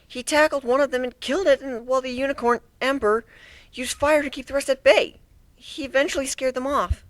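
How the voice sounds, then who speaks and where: A nervous young female griffin, acted out by a man in a fantasy story reading. The voice is high and soft, hesitant and prone to stammering, timid yet defensive. The recording is close and very dry. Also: fairly quick, no room sound. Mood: timid, uneasy. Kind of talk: dramatic fan fiction reading.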